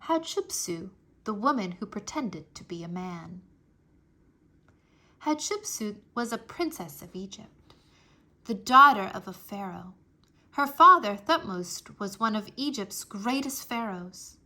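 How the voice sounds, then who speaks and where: A woman reading a history book aloud for children in an expressive, deliberate storytelling manner. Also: medium pitch. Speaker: read-aloud narrator.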